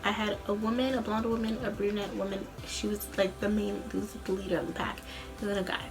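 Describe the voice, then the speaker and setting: A woman's voice: mid-range voice, flat delivery, a little fast, music in the background. A young adult woman speaking as a vlogger in a casual, rambling, informal storytelling way. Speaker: vlogger, student singer.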